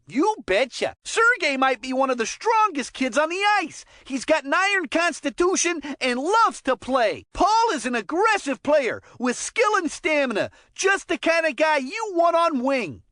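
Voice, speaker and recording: An actor voicing an excitable kid sports commentator in a cartoon hockey game, calling players with folksy enthusiasm. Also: high voice, dry recording, studio quality, exaggerated voice.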